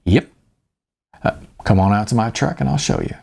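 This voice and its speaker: A man acting out a folksy, gravelly, hearty stout guitarist. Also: on the low side, lively intonation, normal speed, darkish tone, exaggerated voice. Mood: easygoing, chummy. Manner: folksy, colloquial. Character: hearty, stout, folksy middle-aged guitarist, chummy and conspiratorial.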